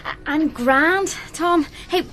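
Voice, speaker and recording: A young Irish woman in a radio play, caring and frank with her sick partner. Her voice sits a little high and sounds natural and conversational. Noticeable background noise surrounds it. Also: slow pace. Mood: welcoming, slightly strained. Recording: clearly audible background noise, clicks.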